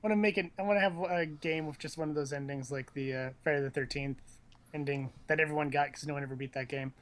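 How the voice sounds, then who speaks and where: A man's voice: a little high, fairly even intonation, quick pace. A man casually chatting as he watches a video game, teasing and joking in slangy talk. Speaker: commentator on a video game stream.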